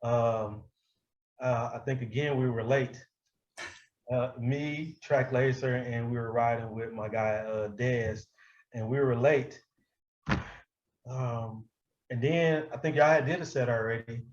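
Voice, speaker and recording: An American man telling stories casually in an interview, profane and quick to laugh. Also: muffled recording. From Milwaukee, United States. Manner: animated, profane, breaks often into high-pitched laughter. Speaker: hip-hop artist being interviewed. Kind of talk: casual anecdotal storytelling.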